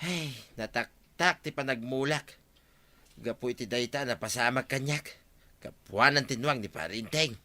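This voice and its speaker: An actor playing a plain-spoken village farmer in an Ilocano-accented radio drama, plaintive and emphatic in manner. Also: male voice, mid-range voice, moderate pace, sharp tone, no echo, close to an everyday voice. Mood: aggrieved. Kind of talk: Philippine radio drama acting.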